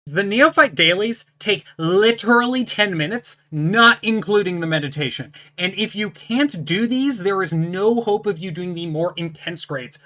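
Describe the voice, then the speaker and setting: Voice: mid-range voice, animated, moderate pace, few pauses, bright, forceful tone. A channel host with a powerful, distinct voice, explaining practice rules to viewers in a blunt, emphatic, lecturing way. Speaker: channel host reviewing a book. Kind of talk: casual explanatory talk to camera.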